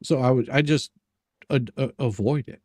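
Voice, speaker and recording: A man with a mid-range voice hosts a livestream in a casual, conversational way. He talks haltingly, circling back over words with a sarcastic edge. The sound is dry, with no room echo. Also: medium pitch, steady tone, darkish tone. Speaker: livestream host. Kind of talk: casual banter.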